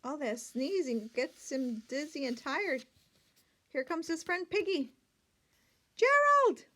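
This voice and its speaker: A woman reading a picture book aloud to young children, expressive and full of questions and asides to her listeners. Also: high-pitched, a little slow. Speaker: children's read-aloud storyteller.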